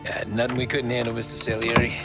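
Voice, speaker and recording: An actor playing a guarded, respectful newcomer to the mob, laconic and, in a video game. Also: male voice, medium pitch, monotone, quick pace, close to an everyday voice. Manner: reserved, laconic. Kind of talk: cinematic video game voice acting. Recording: loud music and vehicle sound behind.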